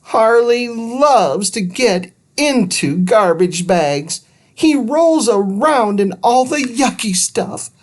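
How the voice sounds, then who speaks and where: A man's voice: sits high, lively intonation, takes it slowly, pitched above the performer's own voice. A man voicing a young girl adventurer in a children's storybook, exasperated and exclaiming, with a dry wit.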